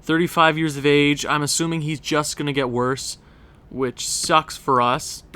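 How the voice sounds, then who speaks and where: A man's voice: fairly high pitch, level tone, unhurried, bright, forceful tone, clear, resonant tone. A young man with a stuffed-up, congested voice, chatting casually and rambling as he narrates a hockey management game for his channel. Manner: chatty, rambling, self-correcting. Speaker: gaming YouTuber. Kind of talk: casual gameplay commentary.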